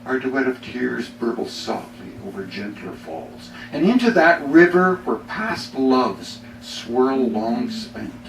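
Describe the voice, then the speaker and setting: Animated Canadian man reading poetry, roomy sound. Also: mid-range voice, takes it slowly, gravelly, few pauses. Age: middle-aged. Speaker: poet reading own work. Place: bookstore reading before a small audience. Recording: roomy, distant mic, faint noise.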